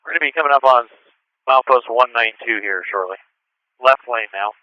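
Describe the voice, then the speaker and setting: A man's voice: medium pitch, a little fast, clear, resonant tone, no room sound. A man, a state trooper, calling out clipped pursuit updates over a police radio picked up on a scanner. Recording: muffled, band-limited police scanner radio.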